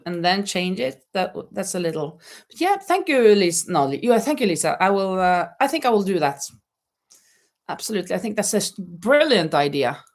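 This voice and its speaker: A middle-aged woman hosting a casual live crochet chat, laughing often and reading viewer comments aloud. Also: on the low side. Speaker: livestream host. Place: home in Spain.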